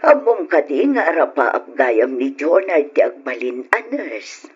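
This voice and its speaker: A woman's voice: sits low, very animated, measured pace, slightly rough, full character voice. A woman playing a devout, consoling elderly mother in an old-time Ilocano radio drama, speaking earnestly and sorrowfully. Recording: muffled, noisy old radio recording.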